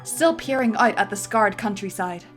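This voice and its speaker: A woman's voice: mid-range voice, animated, moderate pace, fairly bright tone, faint music behind. A woman narrating a film script with a theatrical, emphatic delivery.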